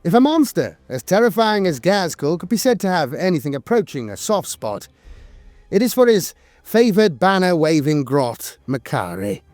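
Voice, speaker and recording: A man's voice: a little high, dry recording. A man narrating scripted lore in a dramatic, wry storytelling manner.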